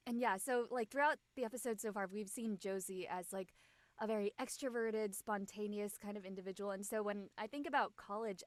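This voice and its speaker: Informal woman, mid-pitched, dry close sound. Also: fairly bright tone, no echo. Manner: informal, peppered with "like". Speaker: actor being interviewed. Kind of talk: casual unscripted interview talk.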